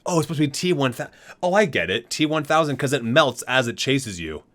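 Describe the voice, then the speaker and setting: A man hosts an ASMR read-aloud at a middle pitch that moves around a lot. He trips over words, corrects himself and drops in casual asides. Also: very animated, quick pace, a bit bright. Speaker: ASMR video host.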